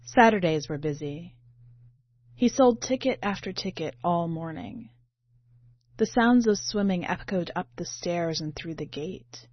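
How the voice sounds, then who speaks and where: Low-voiced woman reading in a roomy space. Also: lively intonation, measured pace. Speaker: podcast editor reading a short story. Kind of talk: literary audiobook-style narration. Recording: muffled, roomy home recording.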